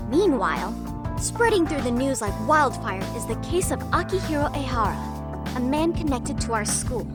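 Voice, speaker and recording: A woman voicing a confident, articulate, slyly scheming high school club president in a video game, formal and teasing. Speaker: student club president character. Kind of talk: English game voice acting. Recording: loud music behind the voice. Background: background music.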